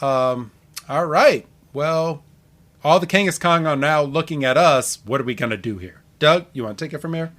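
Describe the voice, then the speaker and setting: A man's voice: mid-range voice, pushed and bright. A man hosting a podcast, chatting informally and retelling things with quoted reactions. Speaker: podcast host. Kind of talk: casual podcast recap and discussion.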